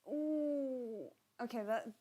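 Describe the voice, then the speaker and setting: A woman's voice: on the high side, drawn-out words, dark tone, rough recording. Woman casually chatting through a live watchalong. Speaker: watchalong reaction host. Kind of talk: casual live reaction chat with viewers.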